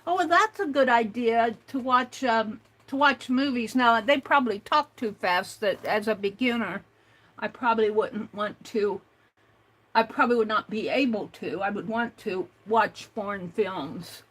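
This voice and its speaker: An older woman hosting an art livestream, chatting slowly and reading out viewer comments, with many fillers and restarts. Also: a little high, a bit bright, rough recording. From United States.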